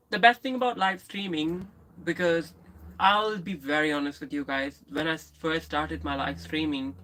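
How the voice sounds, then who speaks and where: Brief: a woman streamer with a lisp and a bright, forward tone, talking frankly to her viewers and often breaking off mid-sentence. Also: deep voice, poor audio. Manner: candid and rambling, with frequent restarts and pauses. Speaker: live streamer. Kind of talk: casual live stream chat, answering viewers.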